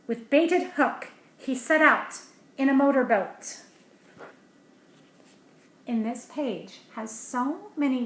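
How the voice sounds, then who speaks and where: A woman reading a picture book aloud to children, dropping to hushed asides about the pictures between livelier read lines. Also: a little high. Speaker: storybook reader. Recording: roomy echo, faint background hiss.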